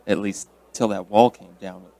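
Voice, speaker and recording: An actor playing a steady, folksy, plain-spoken small-town sheriff in a radio drama. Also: male voice, lowish voice, moderate pace, close to an everyday voice, rough recording. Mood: pondering, authoritative.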